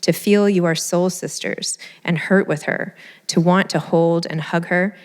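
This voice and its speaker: A woman moderating a live book event, speaking in an earnest, conversational way with a slightly low voice. Her words ring out with long reverb from a large event hall. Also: steady tone, measured pace, clean tone. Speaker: host and moderator. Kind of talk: live panel event, reading an essay aloud.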